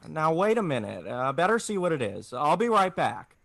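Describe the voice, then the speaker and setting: A man's voice: fairly high pitch, sing-song, moderate pace, gravelly, background noise. A man playing a stubborn, tender-hearted young small-town dreamer in 1940s radio drama style, with a hesitant, stammering delivery. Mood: concerned. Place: indoors, recorded over a video call.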